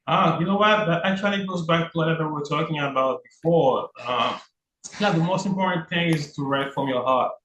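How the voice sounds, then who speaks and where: A man with a Haitian accent, an author speaking earnestly in a casual interview, pausing often to think. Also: a little high, a bit bright, dull audio. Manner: thoughtful, earnest, with frequent "uh" pauses. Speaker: author guest. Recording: roomy echo, faint background noise.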